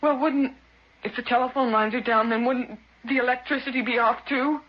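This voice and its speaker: An actress playing a frightened, anxious wife in a horror radio drama, speaking in a halting, pleading way. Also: female voice, fairly high pitch, fairly even intonation, close to an everyday voice. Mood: fearful, pleading. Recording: dull radio-style recording, faint noise.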